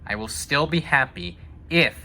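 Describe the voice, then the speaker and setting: A man's voice: fairly high pitch, expressive, unhurried, pushed and bright. A young man chatting casually and giving his opinions, thinking aloud as he goes. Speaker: discussion host. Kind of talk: casual chat about video game movies.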